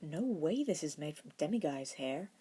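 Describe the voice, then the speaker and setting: A woman's voice: low-pitched, big pitch swings, normal speed, warm tone, slightly rough, full character voice. Woman doing a brash sweary guy with a short fuse, barky and blunt, audiobook style. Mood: incredulous. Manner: barking, blunt. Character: hot-tempered, foul-mouthed man, brash and blunt.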